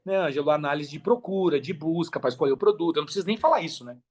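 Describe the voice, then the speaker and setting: Casting: Brazilian male podcast guest, fairly high register, informal advice-giver with colloquial storytelling. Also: racing. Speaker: podcast guest, affiliate marketer. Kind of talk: casual podcast conversation, giving advice.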